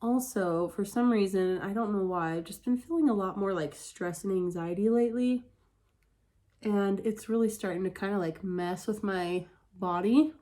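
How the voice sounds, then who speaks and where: A woman's voice: mid-range voice, normal speed, warm tone. A woman from Utah vlogging a casual get-ready-with-me, chatty and candid, her voice congested and stuffy from a head cold. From Utah, USA. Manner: chatty and candid, many "like" fillers. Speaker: beauty vlogger.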